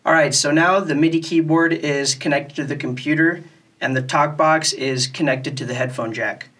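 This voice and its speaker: A man's voice: on the high side, steady tone, moderate pace, fairly bright tone. A man giving a casual, step-by-step tutorial in a plain, methodical way. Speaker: music tutorial host.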